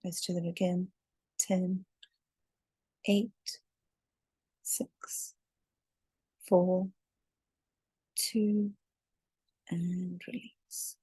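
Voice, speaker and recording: A low-voiced woman narrates a guided meditation at a very slow, deliberate pace. Her delivery is soothing and very even in pitch, drawing out words and counts. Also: breathy edge, no room sound, rough recording.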